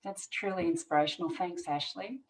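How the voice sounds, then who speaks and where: A woman's voice: fairly low pitch, moderate pace, distant mic, low-quality recording. A woman hosting a webinar interview, thanking each guest briefly and posing the next question. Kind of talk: interview moderating.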